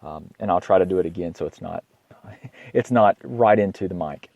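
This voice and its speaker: A slightly hoarse man with a cold hosts an informal health podcast as a doctor, speaking haltingly, repeating words and often clearing his throat. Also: low voice, no room sound, highs cut off. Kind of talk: informal educational health monologue.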